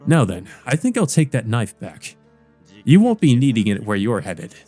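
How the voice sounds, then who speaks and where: A man's voice: mid-range voice, sing-song, normal speed, warm tone, faint music behind. A man voicing a centuries-old male vampire villain from a visual novel, smug, mocking and theatrical, with a sneer. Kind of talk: visual novel character read-aloud.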